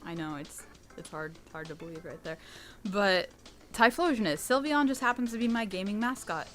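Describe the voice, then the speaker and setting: A young American woman who hosts her channel, answering fan questions in a candid, chatty way with a low, rich voice. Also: expressive, moderate pace, a bit bright, soft background music. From United States. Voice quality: low rich voice, sometimes taken for a boy. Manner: chatty and candid, with laughs and restarts. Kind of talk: casual Q&A monologue.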